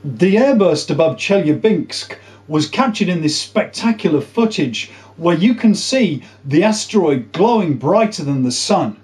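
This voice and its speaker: A man in his forties explaining science to camera as a presenter, with emphatic, drawn-out stress on key words. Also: a little high, big pitch swings, a little mellow. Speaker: presenter of a space science video series. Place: small indoor room.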